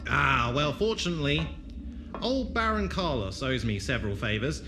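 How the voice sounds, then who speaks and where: A man plays a worldly, avuncular traveller in a sci-fi audio drama. His mid-pitched delivery swings with showman-like flourish and gentle authority. Music plays clearly behind him. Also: very animated, moderate pace, lightly acted. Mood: breezy, wry. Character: worldly, avuncular male space traveller, persuasive group leader. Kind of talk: fan audio drama acting.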